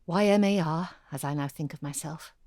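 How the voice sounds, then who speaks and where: A woman's voice: low voice, brisk, darkish tone, no echo, lightly acted. An actress playing a blunt, busy single mother who ghostwrites, speaking in a matter-of-fact, naturalistic way. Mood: tired, frank. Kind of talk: audio drama acting, naturalistic.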